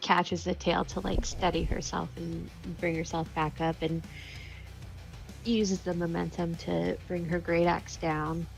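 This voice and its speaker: A woman's voice: on the low side, flat delivery, measured pace, vocal fry, faint music behind, low-quality recording. A woman playing a tabletop game, casually and informally describing her character's moves.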